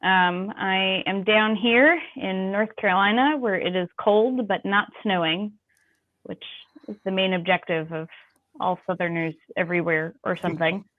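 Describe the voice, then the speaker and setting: A woman on a video call with slightly muffled, dry sound. She chats casually as a panelist in a wry way, at a medium pitch. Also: steady tone, a little slow, dry recording. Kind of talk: casual chat. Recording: dull lo-fi call audio.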